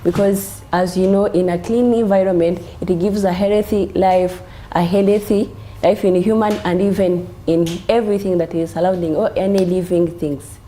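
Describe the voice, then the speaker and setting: Woman guest, mellow, careful explainer. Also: fairly low pitch, fairly even intonation, normal speed, hardly any pauses, slight background noise. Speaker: panel guest. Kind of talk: panel discussion answer.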